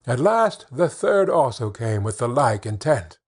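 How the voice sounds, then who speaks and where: A man narrating a children's fairy tale in an expressive storytelling manner, with measured phrasing. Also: mid-range voice, breathy edge. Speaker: audiobook narrator.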